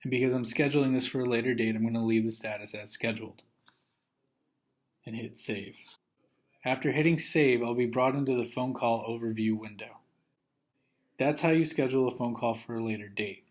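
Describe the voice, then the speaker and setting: A man narrates a software training video with a mid-range voice. He works through instructions methodically, one step at a time, in the manner of a trainer. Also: medium pitch, a little fast, pauses often.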